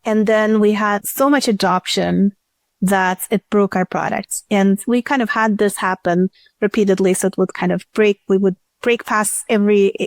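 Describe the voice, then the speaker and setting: A woman's voice: mid-range voice, fairly even intonation, moderate pace, dry recording. A woman giving casual, chatty interview answers as a startup chief executive, often restarting sentences and leaning on filler words. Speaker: startup CEO, interview guest.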